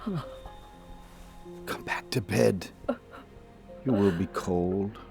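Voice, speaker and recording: A man playing an elderly, slow-witted husband and father in an audio drama, halting and full of sighing interjections. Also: lowish voice, gravelly, music in the background, heavily acted. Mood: weary.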